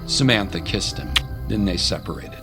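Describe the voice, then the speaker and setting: A man's voice: low voice, animated, normal speed. A man narrating a scripted dramatic story as an audiobook narrator. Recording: music under the voice.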